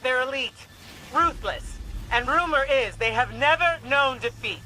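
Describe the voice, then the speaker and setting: An actress playing a fierce, grave warrior-mage in a video game, intense and defiant. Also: female voice, sits high, animated, a little slow, background noise. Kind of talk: video game cutscene acting.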